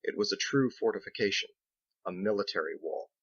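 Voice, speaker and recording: Low, gravelly male audiobook narrator. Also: brisk, dry recording, highs cut off. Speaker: author narrating his own book.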